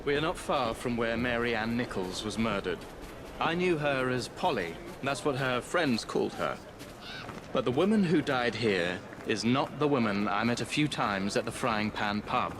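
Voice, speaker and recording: An actor playing a weary male police inspector, who speaks in a measured, grave way. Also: medium pitch, expressive, noisy background, lightly acted.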